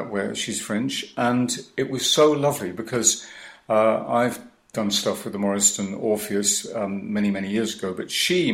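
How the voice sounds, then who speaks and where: Rambling older man, low voice. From Wales. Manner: rambling, hesitant, self-interrupting. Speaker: guest, baritone singer. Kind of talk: radio interview conversation. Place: his home.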